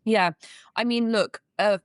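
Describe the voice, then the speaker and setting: A woman's voice: mid-range voice, steady tone, slow, clear, resonant tone, no room sound, clean studio sound. A woman, a guest attorney answering interview questions earnestly. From England. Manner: earnest, with restarts.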